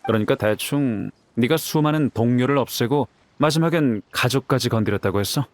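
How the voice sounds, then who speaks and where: A man's voice: medium pitch, fairly quick, natural voice. A man voicing a confident, playful young warrior in an anime game, with a casual, easygoing manner. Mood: probing.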